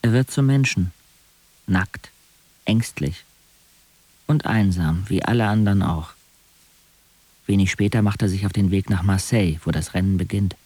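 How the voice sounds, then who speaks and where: An actor narrating a radio drama in the first person as a wry young man, measured, detached and literary. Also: low voice, mellow, no room sound. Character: wry young male narrator recounting his friends' lives. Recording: clear music under the voice. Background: music.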